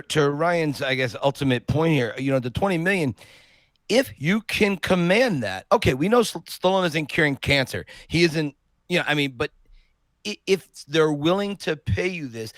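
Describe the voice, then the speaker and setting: A man's voice: fairly high pitch, fairly bright tone, no echo. A man in his fifties, a guest on a casual podcast, bantering playfully and joking around. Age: 50s. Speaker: guest co-host.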